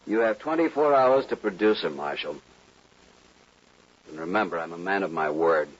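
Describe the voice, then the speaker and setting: An actor playing a haughty aristocratic villain in an old western radio drama, stiff, formal and threatening. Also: male voice, sits low, lively intonation, normal speed, husky. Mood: menacing. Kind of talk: old-time radio acting. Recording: dull, noisy old radio recording.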